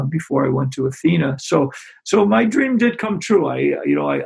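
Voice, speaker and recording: A middle-aged man from Western New York talks in a loose, halting ramble, full of restarts and fillers. His voice is heavily gravelly and a bit husky, and his pitch swings widely as he goes. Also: darkish tone, rough recording. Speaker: basketball coach. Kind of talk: relaxed interview conversation.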